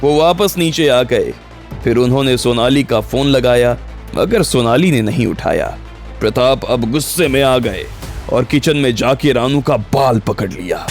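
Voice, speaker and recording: A man's voice: medium pitch, lively intonation, unhurried. A man narrating a scripted audio story in the role of storyteller. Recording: background music under the voice.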